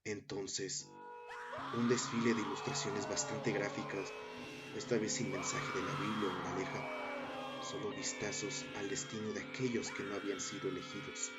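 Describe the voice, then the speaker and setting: A man narrating a scripted horror story in a measured, dramatic storytelling manner. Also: fairly low pitch, little pitch movement. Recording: dull sound under loud music bed. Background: loud background music.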